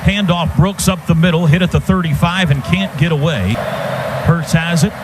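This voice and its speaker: An American man calling football play-by-play live on radio in clipped running phrases that build to shouts on big plays. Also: a little high, moderate pace. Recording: noisy stadium radio broadcast.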